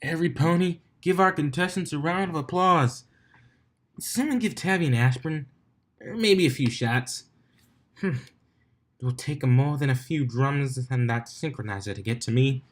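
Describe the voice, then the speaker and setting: A man puts on a party-loving pony mare announcing an event in a story. Her pitch is lifted, and she is flippant and easygoing as she hosts. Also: moderate pace, close to an everyday voice. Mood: playful announcing.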